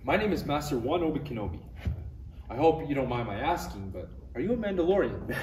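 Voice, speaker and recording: A distant, echoey recording carries a man acting a friendly Jedi master in a sci-fi parody. His fairly high, animated voice is playful and easily impressed. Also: fairly quick. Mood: affable, curious. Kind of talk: live table read of parody script. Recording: echoey room, distant mic, clear noise.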